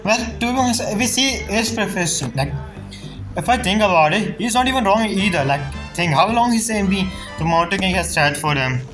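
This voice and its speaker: Higher-pitched man streaming a game casually. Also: lively intonation, a little fast. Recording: game music behind.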